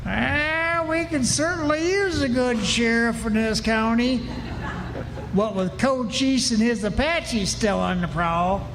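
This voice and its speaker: Man as a very high-voiced, folksy frontier townsman. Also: slow, exaggerated voice. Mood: wry. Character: folksy, wisecracking Old West townsperson. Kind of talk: live Western radio play acting. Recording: echoey hall with faint noise.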